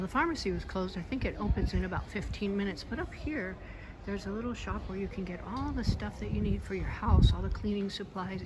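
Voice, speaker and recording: A woman's voice: mid-range voice, rough recording. A woman narrating a walking tour of her town, chatty and enthusiastic as she points out shops and sights along the way. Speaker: vlogger giving a walking tour. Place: outdoors on town streets and a piazza. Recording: loud street noise and traffic.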